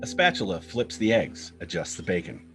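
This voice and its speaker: A man's voice: low voice, big pitch swings, moderate pace. A man reading screenplay stage directions as narrator, in short clipped scripted phrases. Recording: guitar music bed under the voice.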